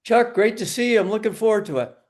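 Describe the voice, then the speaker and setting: A man's voice: high voice, quick pace. An older man from western New York, a retired coach and speaker, telling his story conversationally as a guest.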